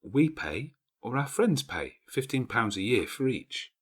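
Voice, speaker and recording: Man voicing a studious orphan schoolgirl, raised pitch. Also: sing-song, breathy edge. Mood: matter-of-fact, patient. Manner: measured, explanatory. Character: older orphan schoolgirl, studious, patient, matter-of-fact.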